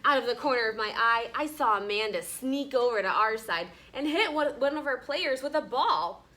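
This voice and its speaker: Bright, high-voiced woman teacher reading aloud. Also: lively intonation, normal speed. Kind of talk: storybook read-aloud with class discussion. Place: classroom with children present.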